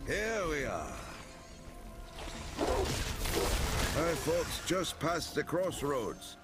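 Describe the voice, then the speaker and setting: A man's voice: on the high side, huge pitch swings, slow, heavily acted. A man playing a humble, friendly farmer with a folksy way of talking in a video game. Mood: helpful. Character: humble, grateful male farmer, friendly and wry. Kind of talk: video game character acting. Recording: music behind the voice.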